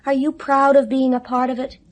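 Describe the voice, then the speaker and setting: A woman's voice: high voice, little pitch movement, a little fast, natural voice. Actress playing a bitter, despairing woman. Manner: bitter, emotional. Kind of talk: 1970s radio drama acting. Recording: noisy old radio recording.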